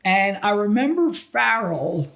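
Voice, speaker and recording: High-pitched male voice, American accent, middle-aged, casual podcast storytelling, halting delivery. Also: very animated, creaky, fairly bright tone. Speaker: podcast guest. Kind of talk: casual reminiscing interview talk.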